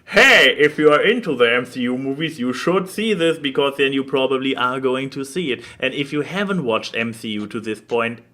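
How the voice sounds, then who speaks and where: A man hosting a podcast, chatting casually about films in a blunt, halting way. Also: medium pitch, animated, bright, forceful tone. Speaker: podcast host. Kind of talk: casual film chat.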